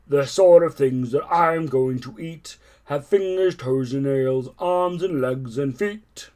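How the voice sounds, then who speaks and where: A storyteller voicing an enormous greedy crocodile in a slow, sly, gloating manner. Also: medium pitch, warm tone, close to an everyday voice.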